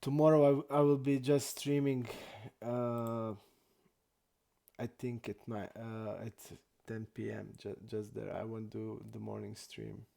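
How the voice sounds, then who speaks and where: A young man from Croatia, not an English speaker, chatting casually and haltingly while streaming poker. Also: fairly low pitch, level tone, creaky, warm tone. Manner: halting non-native English, many restarts and fillers.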